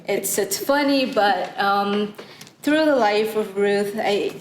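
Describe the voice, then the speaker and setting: A woman from Guyana, a church panelist, reflecting on Bible stories in a halting, thoughtful way. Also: mid-range voice. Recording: echoey room with faint noise.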